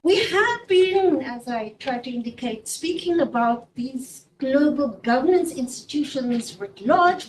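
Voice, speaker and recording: A woman speaking as a seminar panelist, measured and emphatic, with "um" fillers. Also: high-pitched. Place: conference hall. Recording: echoey room from far off, faint noise.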